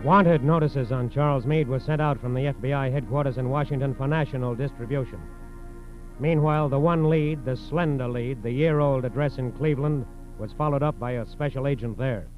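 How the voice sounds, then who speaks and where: An American man playing the grave narrator of a 1940s radio crime drama, measured and emphatic. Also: mid-range voice, natural voice. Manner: measured, emphatic, repeating phrases. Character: grave male narrator of FBI case files. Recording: dull vintage radio recording, music behind.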